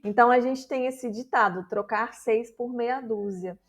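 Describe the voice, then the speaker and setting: A woman with a Brazilian accent talks her viewers through a language lesson. Her voice sits slightly high, and she explains patiently, checking in often to make sure they follow. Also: measured pace. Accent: Brazilian Portuguese. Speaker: Portuguese teacher.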